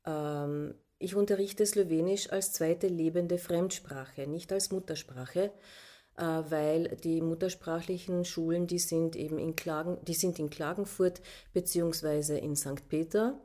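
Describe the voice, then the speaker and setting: A Carinthian woman. Her voice is mellow and sits a little low. She talks thoughtfully, pausing and restarting often. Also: fairly even intonation. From Carinthia, Austria. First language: Slovene. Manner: thoughtful, many fillers and restarts. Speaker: teacher, guest. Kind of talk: personal account in interview.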